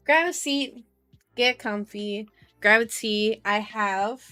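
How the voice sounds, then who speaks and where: A woman's voice: a little high, fairly bright tone. Playful woman reading aloud, music behind. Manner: playful, cutesy. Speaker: streamer host reading a novel aloud. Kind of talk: ASMR reading with casual asides to viewers.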